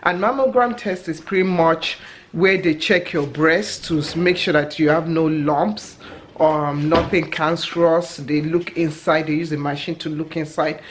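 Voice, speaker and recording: A woman's voice: low voice, fairly even intonation, unhurried, slight background noise. A woman in her forties casually vlogging to camera from her home kitchen, chatty and self-correcting, laughing at herself. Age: 40s. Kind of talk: casual talking-to-camera vlog. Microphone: camera held at arm's length.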